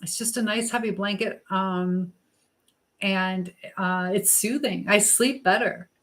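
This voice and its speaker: A woman's voice: mid-range voice, slow pace, a bit bright. A middle-aged woman hosting a casual live chat, talking directly to viewers and giving motherly advice. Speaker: livestream host.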